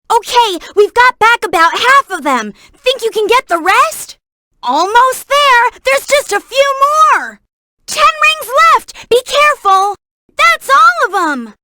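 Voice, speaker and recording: A woman voicing a bright, eager young cartoon fox boy sidekick in a video game, chirpy, earnest and encouraging. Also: very high voice, dry recording, clean studio sound, exaggerated voice. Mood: urgent, encouraging. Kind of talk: video game character voice lines and tutorial hints.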